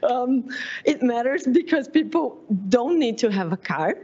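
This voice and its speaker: A woman with a Brazilian Portuguese accent presenting her PhD research at a seminar, often pausing on "um", correcting herself and laughing at herself. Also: a little high, expressive, mellow, breathy edge, dull audio. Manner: frequent "um" fillers, self-correcting, laughs at herself.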